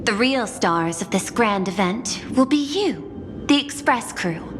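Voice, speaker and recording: A woman playing a poised, persuasive executive in a video game, formal and measured, with grand confidence. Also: medium pitch, animated. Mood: grand, confident. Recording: long reverb, music behind.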